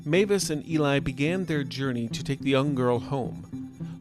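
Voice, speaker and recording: A man hosting a fiction podcast, reading scripted narration in a measured, dramatic storytelling style. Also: mid-range voice.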